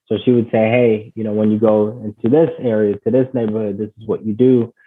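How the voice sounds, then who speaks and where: A man's voice: lowish voice, flat delivery, a little fast. A man from Ghana, a guest on a call, telling his life story in an earnest, conversational way, full of "you know" and "basically.". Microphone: call audio. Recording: tinny phone-like call audio.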